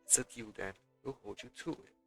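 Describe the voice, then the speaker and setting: A man's voice: mid-range voice, brisk, sharp tone. A man doing casual live commentary as he streams a video game, reacting and reading along. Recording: game music behind the voice.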